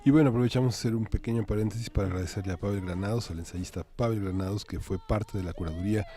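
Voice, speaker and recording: A man's voice: on the low side, racing, mellow. A man with a Mexican accent co-hosting a magazine show in a conversational presenting style. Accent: Mexican Spanish.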